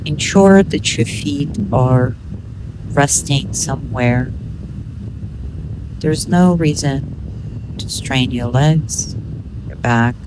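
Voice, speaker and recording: A woman leading a guided meditation in measured, instructional short phrases with pauses between them. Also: extremely low pitch, background noise.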